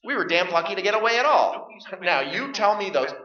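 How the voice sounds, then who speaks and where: A dull, echoey stage recording of a radio play. An actor plays a combative middle-aged man with a very sharp, high voice. He is stubborn and scared, and he stammers as he argues. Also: lively intonation, a little fast. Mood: combative, agitated. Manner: argumentative, agitated, stammering. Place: live stage performance.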